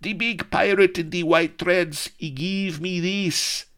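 Oily Spanish prisoner, Spanish accent, nervous, fawning, high-pitched. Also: male voice, fairly even intonation, takes it slowly, a bit bright, smooth.

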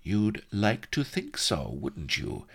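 A man's voice: extremely low pitch, expressive, a little slow, pushed and bright, slightly rough, heavily acted. A man voicing a spiteful woman rival in an adventure story, sneering and taunting.

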